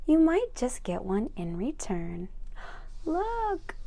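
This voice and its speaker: A woman's voice: a little high, warm tone. A woman reading a children's picture book aloud expressively, with chatty asides to young listeners. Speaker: read-aloud storyteller for children.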